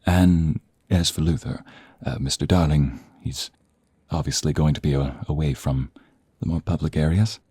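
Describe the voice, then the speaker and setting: Man playing a husky, mellow, polite errand man. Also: rumbling low, normal speed, no echo, studio quality, full character voice. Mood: awkward, courteous. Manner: deferential, hesitant. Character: polite, hesitant male assistant sent by a doctor. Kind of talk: audio roleplay acting.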